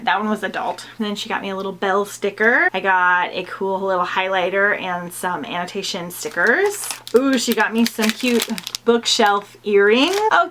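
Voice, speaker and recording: A woman's voice: mid-range voice, fairly bright tone. Give me a chatty young woman with a slightly stuffy nose, talking casually to her viewers. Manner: chatty, bubbly, lots of "like" fillers. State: stuffy with a mild cold. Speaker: book vlogger. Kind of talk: casual chat to camera.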